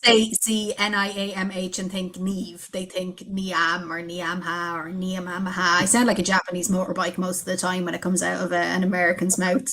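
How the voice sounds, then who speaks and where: A woman's voice: lowish voice, flat delivery, normal speed, few pauses, a bit bright, a little hiss or hum. A woman from Ireland with an Irish accent, a guest researcher talking frankly and with jokes, full of ums. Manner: frank, joking, many ums. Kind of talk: casual interview talk.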